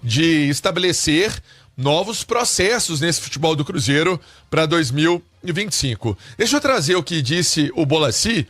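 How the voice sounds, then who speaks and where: A man's voice: a little high, lively intonation, moderate pace, a bit bright, no echo. Dry-voiced male sports radio commentator. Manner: conversational, addresses co-host directly.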